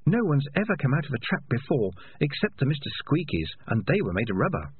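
A man's voice: mid-range voice, very animated, fairly quick, narrow, tinny audio, slight background noise, pitched above the performer's own voice, lightly acted. A man voicing a young, eager, awestruck rat in an earnest, deferential storybook manner. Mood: awed, eager.

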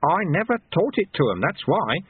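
A man's voice: fairly high pitch, sing-song, moderate pace, husky, no echo, narrow, tinny audio, pitched above the performer's own voice, exaggerated voice. A man voicing a sly, cheerful old monk and martial-arts master who talks in a cheeky, colloquial, teasing way, in an audiobook. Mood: taunting.

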